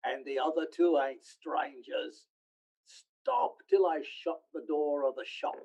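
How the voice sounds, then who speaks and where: High-pitched, swooping old junk dealer. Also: male voice, darkish tone. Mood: sly, welcoming. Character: old, shabby, miserly male rag-and-bone dealer. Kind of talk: radio play acting. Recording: muffled, dull audio.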